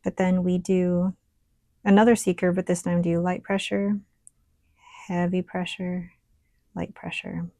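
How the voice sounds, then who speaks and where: A woman with a scratchy, hoarse voice, chatting casually as a stationery vlogger while she writes and paints. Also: on the low side, unhurried, pauses often, no room sound, studio quality. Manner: chatty, relaxed, thinking aloud while working. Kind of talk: casual show-and-tell while writing and painting.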